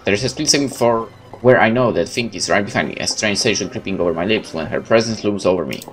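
A man's voice: lowish voice, expressive, fast talker. A man reading horror game narration aloud dramatically, as a gamer playing along, now and then stumbling over words. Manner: stumbles and corrects misreadings. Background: game music.

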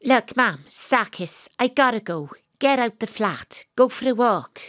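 An actress with a Welsh accent playing a wry, exasperated psychic private detective in an audio drama. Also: female voice, on the high side, animated, measured pace, fairly bright tone, dry recording, clean studio sound. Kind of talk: audio drama acting, dialogue and first-person noir narration.

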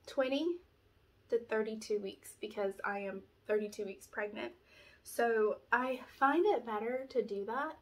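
A woman's voice: a little high, rough recording. A young woman with a stuffy nose from allergies, chatting through a casual pregnancy update at home, rambling with lots of fillers. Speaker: vlogger. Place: small room at home, indoors. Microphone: camera in front, framed at chest.

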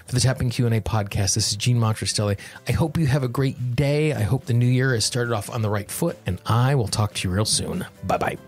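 A man's voice: medium pitch, fairly quick, hardly any pauses, slightly rough, faint music behind. A man hosting a self-help podcast, speaking in an earnest, encouraging manner. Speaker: podcast host. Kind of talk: guided self-help tapping affirmations and podcast talk.

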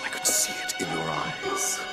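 A man's voice: moderate pace, lightly acted. A man playing a vain, gloating villain king in a video game cutscene, with a smug, theatrical way of talking. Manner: smug, theatrical, mock-tender. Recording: loud cinematic music behind.

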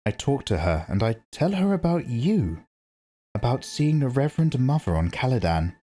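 A man's voice: medium pitch, very animated, normal speed, warm tone, breathy edge, pitched above the performer's own voice. A man reading aloud and voicing a wary, serious teenage boy who answers in a plain, guarded way. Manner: plain, guarded, matter-of-fact.

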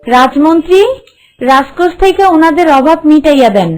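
A woman's voice: sits high, normal speed, a little mellow, soft background music, natural voice. A woman voicing a kind, humble housemaid made queen in a cartoon, plain-spoken with a rural Bangladeshi accent. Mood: caring, decisive. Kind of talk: cartoon voice acting.

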